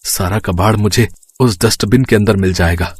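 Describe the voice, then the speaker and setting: A man voices a poor young scrap-picking husband in a moral cartoon. The voice is a little low and simple, talking to himself, over faint noise. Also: normal speed, lightly acted. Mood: pleased, relieved. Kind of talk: Hindi moral cartoon story acting.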